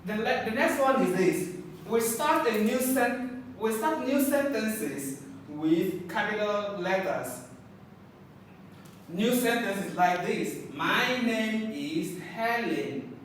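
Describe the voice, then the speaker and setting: Slow patient teacher in an echoey room. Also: male voice, high-pitched, expressive, a bit bright. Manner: slow, patient, repeats words and sounds out letters. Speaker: English teacher. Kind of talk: slow classroom lesson for children. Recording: echoey room, far from mic, faint noise.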